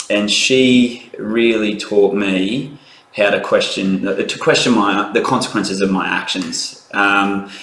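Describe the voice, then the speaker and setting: A man's voice: lowish voice, fairly bright tone. A man in his thirties with an Australian accent, a doctor talking earnestly in a casual interview, with many ums and restarts. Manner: earnest, self-deprecating, lots of ums and restarts. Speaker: guest doctor. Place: small room indoors. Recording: roomy echo, faint background hum.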